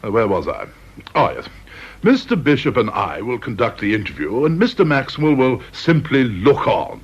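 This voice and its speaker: A man's voice: medium pitch, sing-song, normal speed, husky. An actor playing a genial, slightly fussy British chairman of an interview board in a radio drama, polite and hesitant. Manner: hesitant, deferential, clubbable. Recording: dull old radio recording.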